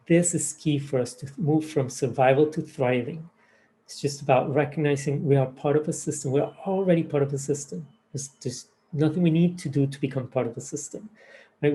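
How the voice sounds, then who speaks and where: A man giving a motivational talk, earnest, slowing down to stress his key points. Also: medium pitch, mellow, rough recording. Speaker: presenter.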